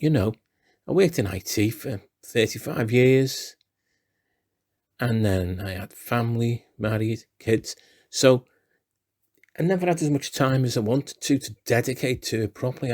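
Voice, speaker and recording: A man in his sixties with a Liverpool accent, speaking in a relaxed, chatty way with dry self-deprecating humour. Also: mid-range voice. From Liverpool, England. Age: 60s. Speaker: playwright. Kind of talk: relaxed talking-head interview.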